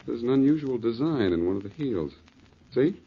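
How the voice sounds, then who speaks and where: An actor playing a breezy, inquisitive, wisecracking amateur detective in a 1940s radio drama. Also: male voice, medium pitch, animated, normal speed, slightly rough. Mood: joking. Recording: muffled, hissy 1940s radio recording.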